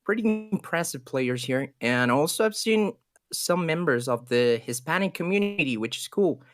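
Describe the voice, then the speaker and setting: A man's voice: a little high, animated, moderate pace, no room sound. A man from Ecuador with a Spanish accent, a native Spanish speaker, casting a livestream in a casual, improvised chatty style. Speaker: esports commentator.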